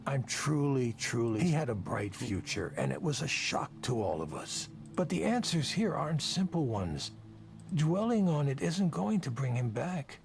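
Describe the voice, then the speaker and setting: An actor playing a weary, drunk, self-loathing male tech executive in a video game, with measured, gravely earnest delivery. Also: a little high, darkish tone, a little hiss or hum. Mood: defensive, firm. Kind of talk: visual novel voice acting.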